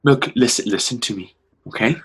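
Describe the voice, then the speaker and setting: A man's voice: medium pitch, fairly quick, fairly bright tone, husky. An actor playing a desperate, tender young man in a dramatic play reading, urgent and pleading, stammering and repeating himself.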